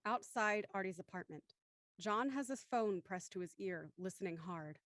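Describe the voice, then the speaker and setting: A woman narrator reading scripted audio drama stage directions in a measured, descriptive manner. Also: mid-range voice, expressive, a bit bright, dry recording, clean studio sound.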